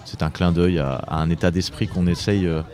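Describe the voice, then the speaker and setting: A man in his late twenties from southeastern France answering interview questions conversationally, often pausing and repeating himself as he searches for words. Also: low-pitched, fairly even intonation, a little mellow. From Drôme, southeastern France. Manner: hesitant, searching for words, repeating phrase starts. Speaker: interview guest, author and entrepreneur.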